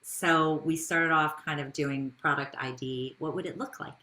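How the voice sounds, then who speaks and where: Woman, low-pitched voice, conversational interview guest, business founder, storytelling with rhetorical questions. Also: fairly even intonation, moderate pace, sharp tone, poor audio.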